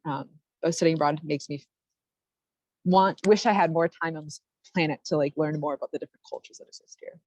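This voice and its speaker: A woman's voice: low-pitched, steady tone, a little fast, a little mellow, no echo, highs cut off. A young woman from the United States, a study abroad alumna, giving a thoughtful panel answer over a video call with frequent "like" fillers and restarts.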